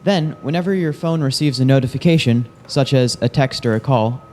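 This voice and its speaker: A young man from Ohio demonstrates a device step by step. His tone is dark and mellow, with faint room ambience behind him. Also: medium pitch, a little fast, a little hiss or hum. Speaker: student presenter.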